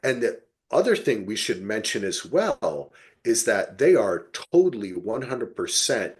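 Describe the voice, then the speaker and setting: A man's voice: fairly low pitch, big pitch swings, measured pace. A man hosting a podcast, talking in an enthusiastic, informal, slangy way as he casually reviews TV. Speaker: podcast host.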